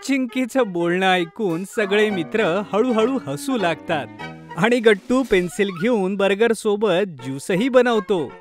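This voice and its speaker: A man's voice: high-pitched, expressive, measured pace. A man reading scripted narration for a children's cartoon story. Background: music.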